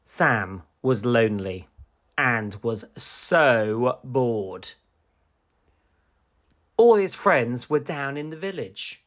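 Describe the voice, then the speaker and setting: A woman reading a picture book aloud for children in an animated, deliberate storytelling manner. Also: rumbling low, dull audio.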